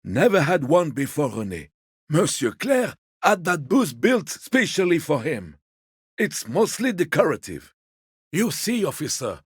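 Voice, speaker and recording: A man voicing a genteel, fussy old man in a video game, a husky voice and a polite, wheedling, quietly petty manner. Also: fairly high pitch, dry recording, studio quality, heavily acted. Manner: polite, wheedling, theatrically indignant. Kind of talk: video game character dialogue.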